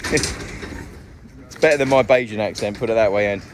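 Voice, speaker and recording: A man's voice: lowish voice, moderate pace. A man giving a casual, step-by-step walk-through of his house build outdoors, talking with plenty of ums. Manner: chatty, explains step by step with many ums. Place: outdoor construction site. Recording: loud outdoor site noise.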